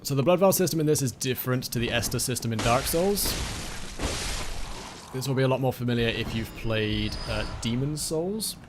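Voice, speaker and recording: A man's voice: medium pitch, normal speed. Man, tired, losing his voice, muttering, wry, game streamer, noisy recording. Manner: muttering, self-deprecating, talking to himself and chat. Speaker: let's play streamer. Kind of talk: casual gameplay commentary.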